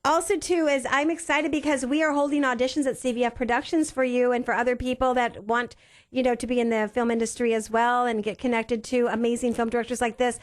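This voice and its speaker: A woman with a high, bright-sounding voice hosts a radio talk show from the studio. She is effusive and upbeat, piling on praise and laughing easily as she moves between guests. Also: flat delivery, fast. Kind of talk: enthusiastic talk radio hosting.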